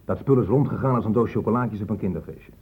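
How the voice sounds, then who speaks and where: A blunt police inspector in a radio play, sarcastic and brusque. The voice sits fairly low with a husky grain, heard through a thin telephone-like band. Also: male voice, quick pace. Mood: sardonic, impatient. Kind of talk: Dutch radio drama acting. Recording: muffled phone-like old radio recording.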